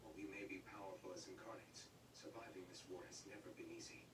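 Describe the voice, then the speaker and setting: An actor playing an earnest, devoted young military captain in a dubbed anime drama, played back from a TV across a room. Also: male voice, deep voice, level tone, fast, echoey room, far from the mic, lightly acted. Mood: earnest, subdued. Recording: TV playback picked up across a noisy room.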